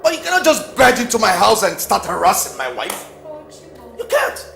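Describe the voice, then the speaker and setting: A man's voice: medium pitch, huge pitch swings, racing, gravelly, a little hiss or hum. A man voicing a husband who firmly protests intruders harassing his wife. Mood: protesting. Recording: roomy echo with music.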